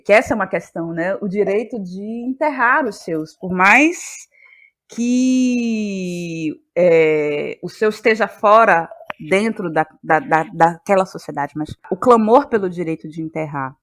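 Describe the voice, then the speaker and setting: A woman's voice: medium pitch, animated, a little slow. A Brazilian woman with a Bahian accent answers as an interview guest, talking reflectively and searching for words, repeating phrases for emphasis. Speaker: guest, playwright and actress.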